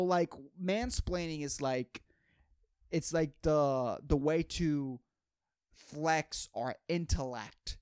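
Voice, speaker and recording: A man's voice: on the high side, a little mellow, smooth. A man hosts a podcast. He delivers a loose comedic monologue, rambling in slang and swearing freely, circling back on phrases to press them home. The sound is dry, with very little room echo. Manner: rambling, slangy, profane, repeats phrases for emphasis. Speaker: podcast host.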